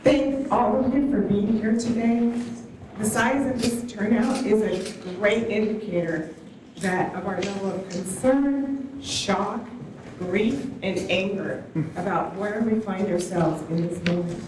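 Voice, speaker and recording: A woman's voice: mid-range voice, measured pace, noisy background. A woman giving an earnest, rousing speech at a town hall podium. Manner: earnest, soft-spoken, rousing. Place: large hall on stage. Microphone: distant stand mic, room pickup. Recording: echoey hall heard from far off.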